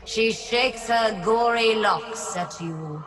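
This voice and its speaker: A woman's voice: fairly high pitch, slow, very noisy. A woman voicing a malevolent, taunting ghost of an actress in a horror audio drama, declaiming with menace. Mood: menacing.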